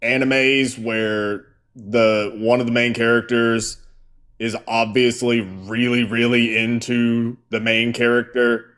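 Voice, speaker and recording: A man's voice: mid-range voice, level tone, slow, fairly bright tone, clear, resonant tone. A man co-hosting a casual live stream, rambling with many fillers and restarts. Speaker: co-host.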